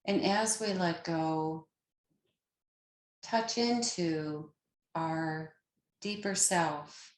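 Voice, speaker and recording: A woman leading a guided meditation as a teacher, speaking in a calm, gentle manner with long pauses, as if easing listeners into rest. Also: low voice, echoey room.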